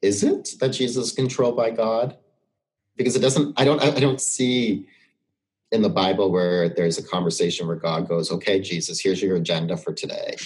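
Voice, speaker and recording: A man's voice: low voice, steady tone, normal speed, darkish tone. A man speaking reflectively as a podcast guest, thinking aloud and searching for his words in a conversational discussion.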